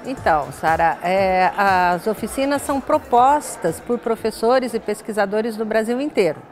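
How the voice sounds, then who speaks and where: A woman's voice: mid-range voice, expressive, normal speed, soft background music. An older woman with a Brazilian accent, explaining things as a professor being interviewed, with frequent tag words. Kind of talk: studio interview, explanatory talk. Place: glass-walled indoor lounge set. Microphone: lapel.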